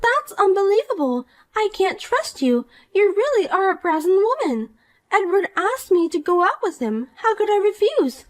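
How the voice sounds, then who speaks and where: Woman voicing Poppy, a high whiny schemer. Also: very animated, moderate pace. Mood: smug. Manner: whiny, accusing, self-pitying. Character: shallow selfish jealous young woman. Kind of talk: dramatized story dialogue.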